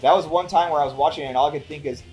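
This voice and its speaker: A man's voice: fairly high pitch, very fast, darkish tone, rough recording. Man chatting over background music. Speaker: co-host of a movie review show. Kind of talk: casual conversational film review.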